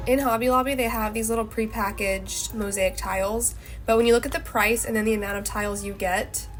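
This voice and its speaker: A young woman vlogger, nasal from a cold, chatting casually as she explains her craft plans step by step. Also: medium pitch. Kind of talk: casual how-to vlog narration. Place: parked car.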